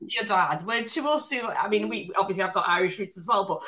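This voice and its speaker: A woman joining an interview conversation, reassuring and gently teasing. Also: medium pitch, fairly quick.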